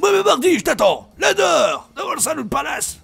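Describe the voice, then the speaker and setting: Man playing dim western film outlaw, loud, taunting, high strained pitch. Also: big pitch swings, brisk, gravelly, soft background music, heavily acted. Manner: taunting, blustering. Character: loud dim-witted bullying Wild West outlaw.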